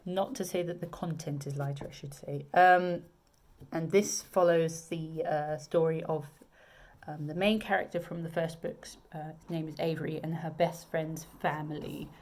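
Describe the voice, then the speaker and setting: A woman's voice: sits low, steady tone, moderate pace, darkish tone. A woman with a southern English accent, a romance author chatting casually to camera about her books, with many ums and restarts. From England.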